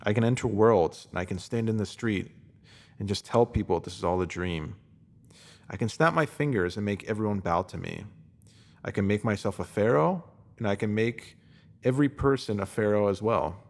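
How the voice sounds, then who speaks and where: A man with a fairly low voice reads a written spiritual essay aloud. His delivery is calm and measured, steady and reflective from line to line. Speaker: reader. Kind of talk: scripted reading of a spiritual essay.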